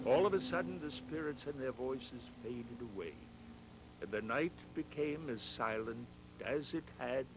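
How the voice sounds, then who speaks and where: A man narrating a scripted storybook in a measured, dramatic storytelling manner. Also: medium pitch, very noisy.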